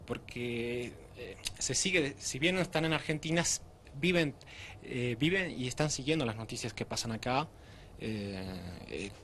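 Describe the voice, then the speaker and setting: A man's voice: medium pitch, normal speed, a bit bright, a little hiss or hum. Young man, faint room ambience. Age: young adult. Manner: plain-spoken, many fillers. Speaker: interviewee, youth group member. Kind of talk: group interview.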